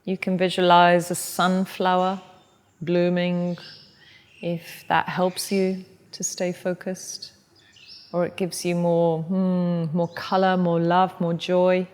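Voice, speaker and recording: A woman who teaches yoga, leading a guided meditation in a soft, gentle, unhurried way. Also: fairly low pitch, monotone, smooth, long reverb tail. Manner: soft, slow and gentle guidance.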